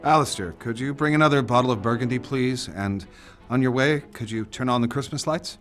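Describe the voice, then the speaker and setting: An actor playing a proud, pressured senator and family patriarch in a video game, with a formal, authoritative manner. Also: male voice, medium pitch, a little fast, a bit bright, soft background music, lightly acted. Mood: solemn, polite. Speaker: senator character. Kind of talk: dramatic video game dialogue.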